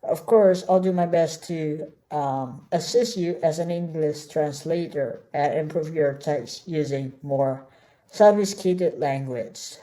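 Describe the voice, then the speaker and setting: Woman course tutor, Indonesian native speaker, low and mellow voice, unhurried, chatty with lots of "all right?" check-ins. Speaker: online course instructor. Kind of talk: screen-share tutorial.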